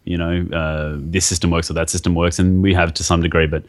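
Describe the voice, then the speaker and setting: A man's voice: sits low, level tone, brisk. A man interviewed as a guest artist, talking casually and hesitantly, with many fillers and restarts.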